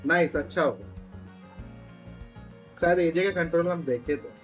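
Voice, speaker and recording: A man's voice: a little high, rapid-fire, faint music behind. A man with an Indian accent chatting casually as he live-streams a game. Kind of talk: casual gaming stream chat.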